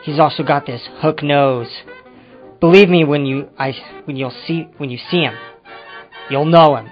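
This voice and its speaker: An actor playing an evasive, touchy ex-convict in an old-time crime radio drama, speaking in a hesitant, defensive way. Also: male voice, fairly high pitch, normal speed, natural voice. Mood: nervous confessing. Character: evasive, touchy male ex-convict confessing to a detective. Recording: muffled amateur radio-drama recording with music.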